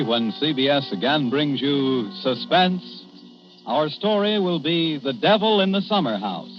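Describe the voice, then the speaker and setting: A man announces in a formal, crisp manner, his voice a little high. Faint music sits behind him. The sound is a muffled 1940s radio broadcast. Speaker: network announcer.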